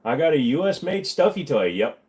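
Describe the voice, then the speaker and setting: A man's voice: a little high, big pitch swings, brisk, a little mellow. A man hosting a casual livestream, answering viewer questions with crude, rambling jokes.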